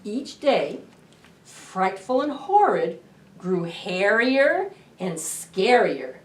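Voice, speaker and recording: A middle-aged woman hosting a children's storytime, reading aloud in an expressive, deliberate way to young listeners. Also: medium pitch. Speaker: library storytime host. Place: indoor library children's room. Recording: roomy echo, distant camera microphone.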